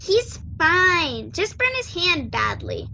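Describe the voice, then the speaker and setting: A woman's voice: very high-pitched, big pitch swings, takes it slowly, creaky, sharp tone, slight background noise, full character voice. A young woman playing a bossy, impatient teenage girl in a sci-fi radio play. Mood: impatient, persuasive. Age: young adult.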